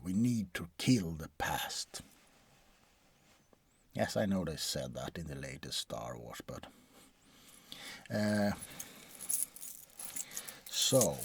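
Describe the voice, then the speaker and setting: ASMR roleplay: ancient male vampire doctor played by a man, deep, slow, bored, softly rambling and morbid. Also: breathy edge, exaggerated voice. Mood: bored, musing. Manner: hesitant, rambling, weary, dryly morbid. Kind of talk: soft-spoken ASMR roleplay monologue.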